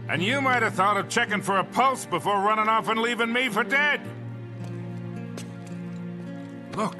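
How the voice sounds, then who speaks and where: An American man playing a wily older treasure hunter in a video game, a gruff, salty-tongued storyteller. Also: high-pitched, brisk, lightly acted. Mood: indignant. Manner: folksy, salty-tongued raconteur. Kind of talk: cinematic video game acting. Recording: game music behind the voice.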